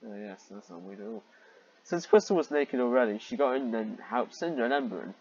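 Male reader, husky mid-pitched voice, sarcastic and exasperated, swears freely; dull home recording. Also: expressive, a little fast, a little mellow. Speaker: reader and commentator of fan fiction. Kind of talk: reading fan fiction aloud with mocking asides.

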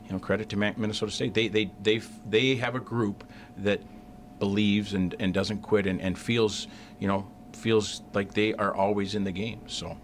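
A middle-aged hockey coach speaks into a desk mic at a press conference, his voice sitting on the low side. He talks in halting bursts, doubling words and restarting sentences. A faint rumble hangs under the room. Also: fairly even intonation. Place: press room in front of a sponsor backdrop. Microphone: desk mic on a stand.

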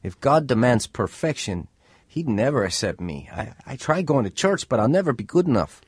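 A man's voice: medium pitch, sing-song, moderate pace, slightly rough, no echo. An actor playing an earnest, troubled young navy man in a confessional radio drama. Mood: troubled doubt. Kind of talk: radio drama narration and acting. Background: music.

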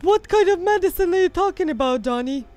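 A woman's voice: very high-pitched, expressive, brisk, darkish tone, no echo. A woman playing a cold, mean mother in a children's game roleplay, speaking in a hesitant, dismissive way.

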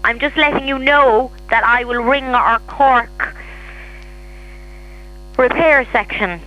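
A woman's voice: on the high side, takes it slowly, muffled recording, faint music behind. An Irish-accented woman handling a customer service call, patiently repeating and clarifying as a shop receptionist. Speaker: hearing aid shop receptionist.

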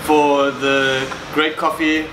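A man's voice: fairly high pitch, slow. Laid-back man hosting in an echoey cafe. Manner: laid-back, relaxed with fillers. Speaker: podcast host. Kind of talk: casual podcast chat. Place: coffee shop, indoors. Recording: echoey cafe with background hum.

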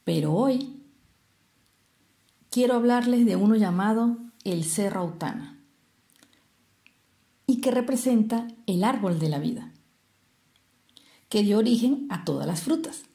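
A woman from Venezuela with a Venezuelan Spanish accent, telling a traditional tale expressively and explaining things as she goes, with deliberate pauses. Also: mid-range voice, animated, creaky, dark tone. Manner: didactic, explains terms with rhetorical questions and pauses. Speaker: storyteller.

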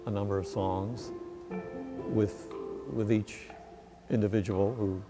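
A man in his sixties giving a measured documentary interview, often pausing mid-sentence. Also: fairly low pitch, steady tone. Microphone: lapel mic. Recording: music under the voice.

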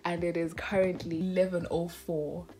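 A woman's voice: fairly low pitch, little pitch movement, normal speed, darkish tone. A woman in her twenties chatting casually to her vlog in an informal, emphatic way, recorded on a phone at arm's length in a small bedroom. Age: 20s. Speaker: vlogger, university student.

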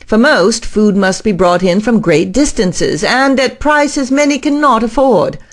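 A woman's voice: on the high side, animated, measured pace. A woman acting an earnest, eager-to-please scientist in an audio drama.

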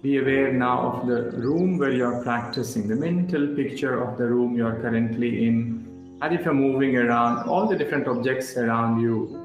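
A man leading a guided relaxation as a yoga instructor, speaking calmly in short instructional phrases with pauses. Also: mid-range voice, highs cut off. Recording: roomy echo with music underneath.

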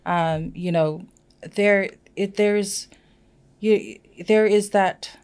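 A middle-aged woman from Samoa whose first language is Samoan, speaking reflectively with halting restarts as a podcast guest in a small studio. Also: medium pitch, fairly even intonation, a little mellow, clear, resonant tone. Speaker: podcast guest, humanitarian worker. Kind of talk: casual studio conversation. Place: small studio with plants and shelves. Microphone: podcast mic on a boom arm.

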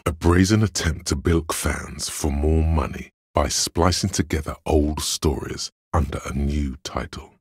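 An actor playing an inner voice of cold deductive logic in a video game, measured, deliberate and dryly condescending, with a gravelly, husky voice. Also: male voice, extremely low pitch, dry recording, studio quality, exaggerated voice. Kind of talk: video game voice-over, scripted narration. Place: dry studio recording.

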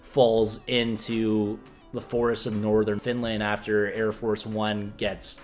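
A man's voice: lowish voice, level tone, takes it slowly, faint music behind. Young man chatting through a movie review. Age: young adult. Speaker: film review co-host. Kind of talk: casual movie review chat. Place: small indoor room with shelves of discs.

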